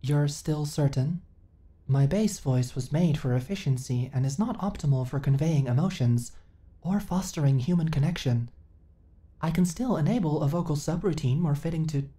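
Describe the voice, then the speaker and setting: A woman's voice: low-pitched, normal speed. A woman playing a female companion android in an ASMR audio roleplay, speaking in a stilted, literal, formal way, earnest and eager to serve. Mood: flat, hesitant. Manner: stilted, formal, literal, emotionally flat delivery.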